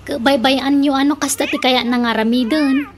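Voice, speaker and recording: Casting: actress as nosy, quarrelsome village woman, Ilocano accent, very high voice, animated comic radio drama, slight noise. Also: moderate pace, natural voice. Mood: scornful, bickering. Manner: animated, teasing, bickering. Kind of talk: comedic radio drama acting.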